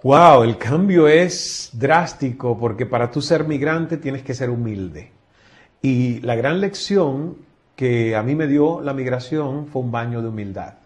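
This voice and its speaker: A man's voice: medium pitch, a little slow. A Cuban man in his forties with a Cuban Spanish accent, giving an emphatic, reflective interview answer in a small office at a table mic. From Cuba. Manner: expressive, gestures a lot, shifts between emphatic and soft. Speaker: interview guest. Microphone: table mic in front.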